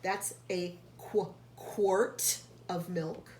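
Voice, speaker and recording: A woman reading a picture book aloud to young children like a teacher, pausing to explain and carefully sounding out key words. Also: fairly low pitch, steady tone, a little mellow. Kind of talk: read-aloud storytelling with explanatory asides. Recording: echoey room, faint background noise.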